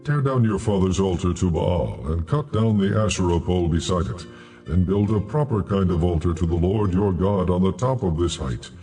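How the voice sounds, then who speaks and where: Man voicing deep, mellow, commanding voice of God. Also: faint music behind, close to an everyday voice. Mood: solemn, instructing. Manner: solemn, authoritative, measured. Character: God, calm commanding divine male voice. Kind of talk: dramatized audio Bible acting.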